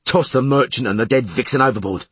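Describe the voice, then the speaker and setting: A man voicing a callous, commanding leader of mutinous sailors in an audiobook, forceful and ordering. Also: mid-range voice, quick pace, husky, no echo. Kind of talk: audiobook character acting.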